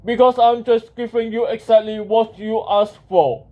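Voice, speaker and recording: A man voicing a grand, breezily unhelpful female genie in a children's audiobook, with drawn-out, theatrical delivery. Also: high-pitched, fairly even intonation, mellow, low-quality recording. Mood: matter-of-fact.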